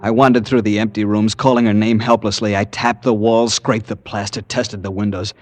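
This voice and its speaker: A man's voice: fairly low pitch, level tone, moderate pace, close to an everyday voice. An actor playing a desperate, earnest young American man, narrating and pleading in 1940s radio suspense style. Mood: hushed suspense. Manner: earnest, nervy, dramatic. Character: anxious, desperate young man hunting his missing fiancee. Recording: vintage radio drama, music behind.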